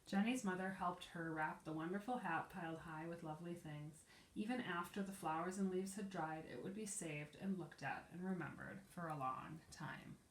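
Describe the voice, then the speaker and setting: A woman's voice: lowish voice, normal speed, slight background noise. A woman preschool teacher reading a picture book aloud to young children, explaining simply and asking them questions. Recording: echoey room.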